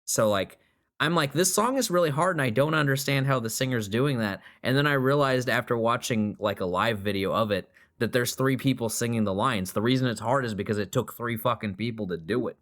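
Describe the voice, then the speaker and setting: A man's voice: medium pitch, brisk, a bit bright. Casual swearing young man. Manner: swears freely, jokey, rambling. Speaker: podcast host. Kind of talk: casual chat.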